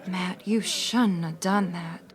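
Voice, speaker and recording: A woman's voice: fairly low pitch, steady tone, slow, loud music behind the voice, a loud crowd. A woman playing a worldly, concerned Old West saloon woman in a radio western. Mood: worried.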